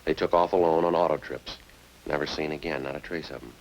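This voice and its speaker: A man's voice: rumbling low, steady tone, quick pace, gravelly. An actor playing a methodical male police sergeant in a 1950s radio crime drama, clipped, understated and matter-of-fact. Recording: noisy old-time radio recording.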